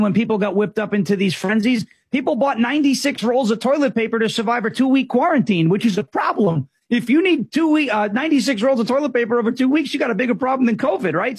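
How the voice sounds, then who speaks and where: A man from New York City with a permanently raspy voice, talking politics on a radio talk show with sarcastic energy. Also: high-pitched, no echo. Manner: animated, sarcastic, does a presidential impression. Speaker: guest commentator.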